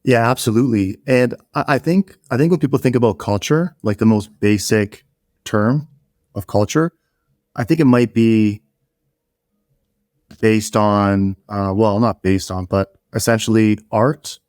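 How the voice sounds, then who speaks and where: Man raised in Canada, Polish first language; loose, restart-heavy podcast chatter, low voice, dry sound. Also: a little slow, a little mellow, no room sound. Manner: rambling, swears casually, frequent restarts. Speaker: podcast co-host. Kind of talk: casual chat.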